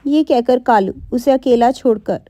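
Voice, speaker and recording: A woman reads a scripted story for children in a high voice. The tone is mellow, and the recording is dry and close. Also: normal speed, no echo, dull audio. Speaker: narrator.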